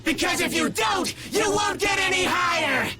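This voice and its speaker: An actor playing a deranged, battle-hungry villain in an anime video game, taunting in a theatrical, maniacal, sing-song way. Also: male voice, extremely high pitch, moderate pace, raised voice, over loud music. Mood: frenzied rage. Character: deranged, battle-hungry male villain obsessed with his rival.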